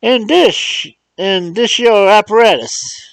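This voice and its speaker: A man's voice: high-pitched, slow, creaky, fairly bright tone, clear, resonant tone, dry recording, pitched above the performer's own voice, full character voice. A man voicing an old male servant who rambles in a drawling, superstitious way. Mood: astonished. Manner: drawling dialect speech, wondering. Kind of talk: audiobook character voice.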